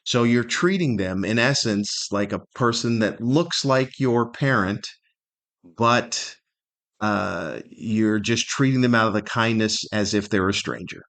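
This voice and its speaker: A man's voice: lowish voice, a bit bright. Reflective middle-aged man, podcast monologue. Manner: candid, conversational, thoughtful with searching pauses and repetition. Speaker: podcast host, stand-up comedian.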